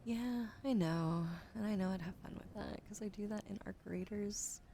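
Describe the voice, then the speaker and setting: A sleepy woman streaming ASMR with a sore throat, switching between soft whispers and casual chat about games into a close microphone. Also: mid-range voice, moderate pace, vocal fry. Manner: soft whispering between chatty gaming talk. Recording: noisy stream audio.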